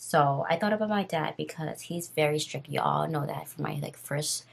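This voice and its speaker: A woman in her twenties with a raspy voice, casually telling a story on her vlog while doing her makeup, rambling and full of fillers. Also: sits low, moderate pace. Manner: rambling, filler-heavy and nervous. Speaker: vlogger.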